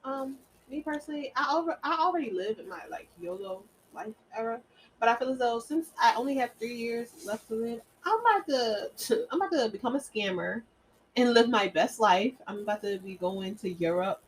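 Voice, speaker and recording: A young woman co-hosting a casual podcast, playful and boastful, her loudness swinging widely as she talks. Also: on the high side, lively intonation, moderate pace, a little hiss or hum, poor audio. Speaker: podcast co-host. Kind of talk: casual chat.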